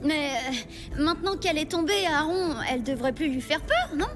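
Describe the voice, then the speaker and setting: A woman's voice: high voice, very animated, normal speed. A woman voicing a plucky, caring young child in a cartoon, eager and childlike in delivery. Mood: curious. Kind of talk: French animated cartoon acting. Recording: music behind the dialogue.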